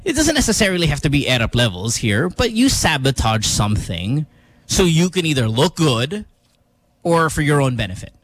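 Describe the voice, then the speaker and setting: A man hosting a casual radio panel chat, talking in a joking, rambling, animated way. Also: a little high, moderate pace. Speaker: radio show host.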